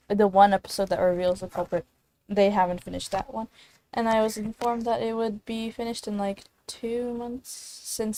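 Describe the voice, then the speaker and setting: A woman's voice: medium pitch, warm tone, rough recording. A woman game streamer chatting casually on a livestream in a rambling, giggly way.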